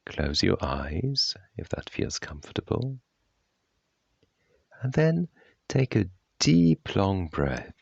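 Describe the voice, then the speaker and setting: Male meditation guide: extremely low, gravelly voice, measured delivery, long pauses between soft instructions. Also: very animated, no room sound, dull audio. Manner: gentle, unhurried, measured phrasing.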